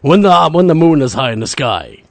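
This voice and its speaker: A male host with an animated, swinging pitch keeps the chat upbeat and joking, often stammering as he restarts. His voice sits higher than most men's and is a touch gravelly tonight. The sound is dry and close. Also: moderate pace, no echo. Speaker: radio show host. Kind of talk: casual interview chat.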